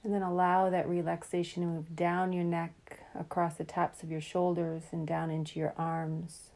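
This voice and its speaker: A woman guiding a relaxation meditation in a calm, soothing, unhurried way, leaving long pauses between phrases. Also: lowish voice, vocal fry. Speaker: meditation guide.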